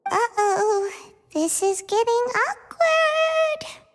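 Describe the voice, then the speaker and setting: A woman voicing a tiny, childlike, outspoken companion in a video game, chirpy and excitable. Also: very high-pitched, drawn-out words, full character voice. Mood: awkward. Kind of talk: English video game voice acting. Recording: long hall reverb, music underneath. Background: game music.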